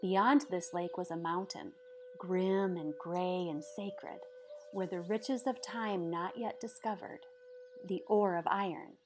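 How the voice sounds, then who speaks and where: A woman narrating a storybook aloud in a measured, expressive way, with dramatic pauses. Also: on the low side. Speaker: storybook reader. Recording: music behind the voice. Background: background music.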